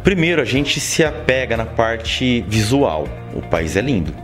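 A man's voice: medium pitch, measured pace, faint music behind. A man in his forties from Brazil with an interior São Paulo accent, telling stories as a guest in a studio interview. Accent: interior São Paulo Brazilian Portuguese. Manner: storytelling, lively, many "sabe?" tags. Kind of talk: casual interview talk. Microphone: handheld mic held by interviewer.

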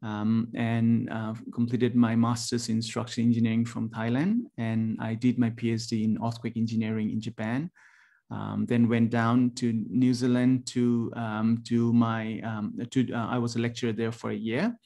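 A man from Nepal in his forties, a university lecturer giving a presentation over a video call, talking haltingly with many ums and restarts. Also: mid-range voice, completely flat delivery, vocal fry, dark tone. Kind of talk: webinar presentation.

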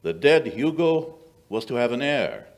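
An older man acting a shrewd, dry-witted gentleman detective in old-time radio style, with measured, theatrical storytelling. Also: mid-range voice, sing-song. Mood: wry storytelling. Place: small indoor stage before an audience. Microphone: vintage-style stand microphone.

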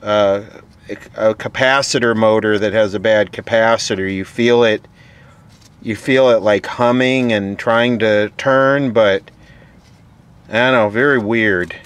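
A man giving casual, off-the-cuff narration of a repair job, halting as he thinks aloud. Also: lowish voice, steady tone, a bit bright, clear, resonant tone. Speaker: host, vintage radio repairer. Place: beside an old console radio being worked on.

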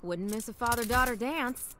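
A woman's voice: fairly high pitch, normal speed, background noise, close to an everyday voice. An actress playing a cocky young female special forces fighter who trades wisecracks. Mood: defiant. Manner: brash, wisecracking.

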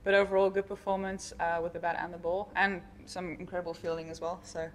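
A woman's voice: on the low side, little pitch movement, fast talker. A young woman cricket player giving casual answers at a post-match press conference. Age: young adult. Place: indoor press conference room.

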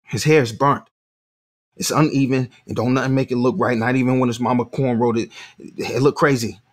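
A man's voice: medium pitch, steady tone, fairly quick, natural voice. Actor as blunt, confrontational father. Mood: aggrieved. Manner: blunt, accusatory, slangy. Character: confrontational working-class father defending his bullied son. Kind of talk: audio drama acting.

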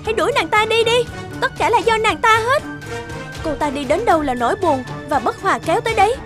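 A woman voicing a vain, jealous fairy in a cartoon, with a sneering, shrill delivery. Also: animated, quick pace. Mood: spiteful. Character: vain, catty, jealous beautiful fairies at a banquet. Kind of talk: animated fairy tale dubbing. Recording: music behind the voice.

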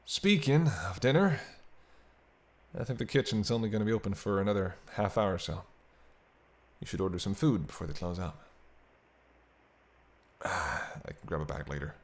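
A man's voice: lowish voice, expressive, normal speed, pauses often, close to an everyday voice. A man playing a teasing, century-old vampire in an intimate roleplay audio drama, bantering wryly with hesitant asides. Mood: dryly teasing. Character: teasing century-old male vampire, sardonic but soft-hearted.

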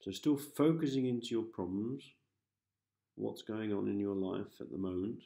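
A man with a low, gravelly voice leads a guided relaxation for sleep. He is calm and gentle, repeating soft instructions with long pauses. The sound is roomy and echoing, with faint music underneath. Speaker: hypnosis host, meditation guide. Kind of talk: guided sleep hypnosis, soothing meditation.

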